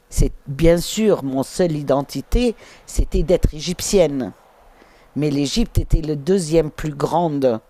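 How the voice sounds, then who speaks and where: Woman, first language Finnish, middle-aged, halting with fillers, warm mellow tone, unhurried. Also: low-pitched, dry recording. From England. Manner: hesitant, halting with many fillers. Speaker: interview guest, documentary filmmaker. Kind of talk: reflective interview storytelling.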